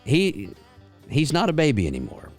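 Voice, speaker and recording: A man's voice: mid-range voice, huge pitch swings, moderate pace, faint music behind. A man hosting a country radio show, teasing and joking in casual chat.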